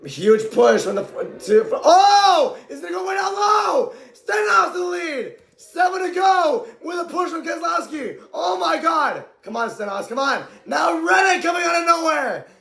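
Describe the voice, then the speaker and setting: A man calling a stock car race live as an amateur commentator. He talks excitably, often shouts, and his voice is going hoarse from the strain. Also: very high-pitched, moderate pace, few pauses, roomy sound.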